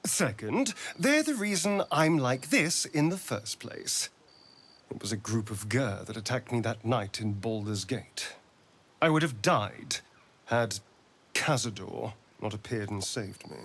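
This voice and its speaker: A man's voice: medium pitch, animated, unhurried, a bit bright, husky, exaggerated voice. An actor playing a theatrical, haunted male vampire spawn in a video game, with a sardonic and dramatic delivery. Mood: bitter, brooding. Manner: dramatic, sardonic, swooping emphasis. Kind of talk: video game character acting.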